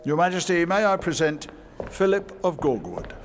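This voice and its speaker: An actor playing a sly, wry royal advisor who narrates a fantasy audio drama in a measured, courtly, sardonic way. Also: male voice, high-pitched, lively intonation. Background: music.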